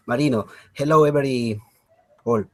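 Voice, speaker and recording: Peruvian-accented man, halting English. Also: medium pitch, no echo. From Peru. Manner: halting, with many fillers. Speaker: GIS consultant. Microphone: video call.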